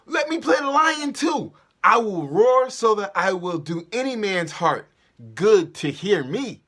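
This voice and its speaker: A man's voice: sits high, expressive, a little slow, sharp tone, voice pushed up, exaggerated voice. A boastful, eager weaver played by a man who overacts grandly in stage Shakespeare. His delivery is bombastic and emphatic, swooping widely in a raised voice. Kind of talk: theatrical Shakespeare staged reading.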